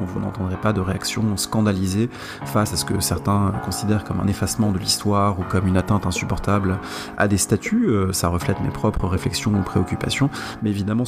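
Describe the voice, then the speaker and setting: A man presents a podcast in scripted narration. His pitch sits on the low side with plenty of gravelly creak, and he moves along quickly. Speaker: podcast host. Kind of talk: scripted narration, introducing guests.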